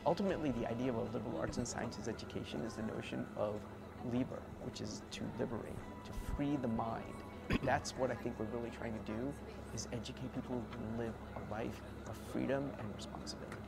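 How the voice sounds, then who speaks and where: A man's voice: medium pitch, steady tone, a little fast, gravelly. A middle-aged man, an educator, speaking earnestly in a promotional interview. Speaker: college educator or administrator. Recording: loud music bed behind voice. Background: music.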